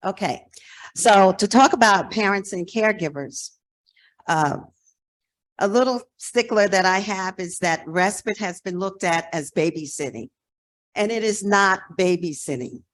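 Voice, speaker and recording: A woman's voice: medium pitch, unhurried. An American woman in her sixties giving a conference presentation in a frank, emphatic, conversational way. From New Jersey, United States. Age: 60s. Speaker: presenter, nonprofit executive director.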